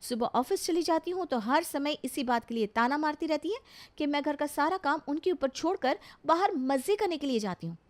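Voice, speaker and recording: A woman acts a young city daughter-in-law in a cartoon family drama. The voice sits fairly high. She sounds dutiful and earnest, with a polite but plaintive, put-upon manner. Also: expressive, fairly quick. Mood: aggrieved. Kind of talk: Hindi animated family story acting.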